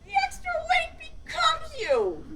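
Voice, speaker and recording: A woman's voice: very high voice, wildly swooping pitch, measured pace, very noisy, full character voice. An actress playing a gushing, catty woman at a party who gives backhanded compliments.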